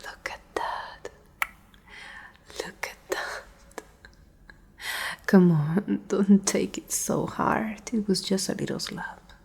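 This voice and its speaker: A woman playing a menacing, possessive female pack Alpha in a soft, condescending ASMR roleplay whisper. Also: medium pitch, exaggerated voice. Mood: mocking, stern. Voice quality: breathy whisper. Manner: slow, deliberate, condescending.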